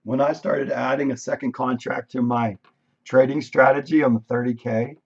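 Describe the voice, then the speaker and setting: A man reviewing his day's trades on a live stream, casual and thinking aloud, with a voice that is going hoarse. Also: medium pitch, fairly even intonation, moderate pace, lo-fi sound. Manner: conversational, thinking aloud, frequent "right?". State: losing his voice, coughing. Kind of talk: casual screen-share trade recap. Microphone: desk microphone.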